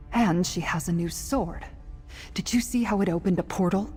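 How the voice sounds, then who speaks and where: A bold woman warrior from a fantasy video game , acted by a woman, speaks bluntly in a lowish voice. She is commanding and wry, a born tactician. Her words ring with long reverb over music. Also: darkish tone, close to an everyday voice. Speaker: companion character in a fantasy game.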